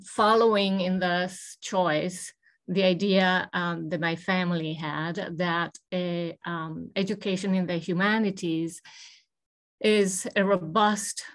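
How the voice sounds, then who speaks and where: An older woman from Italy tells her life story from a home office with bookshelves. She speaks very slowly and thoughtfully, pausing often to gather her words, in a somewhat low voice. The sound comes through a webcam on a video call. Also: fairly bright tone. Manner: measured, thoughtful, many hesitant ums. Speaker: guest speaker. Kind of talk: personal history talk on a video call.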